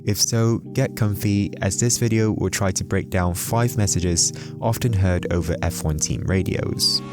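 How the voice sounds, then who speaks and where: Low-pitched man narrating an explainer. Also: unhurried, loud music behind the voice. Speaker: video host explaining motorsport terms.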